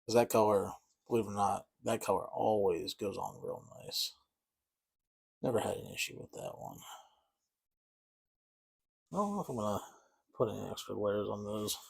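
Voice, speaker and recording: Low gravelly guy rambling easygoing while he paints minis. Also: normal speed, pauses often. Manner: rambling, easygoing, self-deprecating. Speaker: miniature painting hobbyist. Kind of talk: casual chat while painting.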